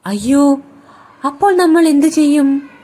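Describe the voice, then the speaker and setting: Woman voicing a sly, high-pitched storybook crocodile. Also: animated, measured pace, warm tone. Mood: dismayed. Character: sly male crocodile, friendly on top, treacherous underneath.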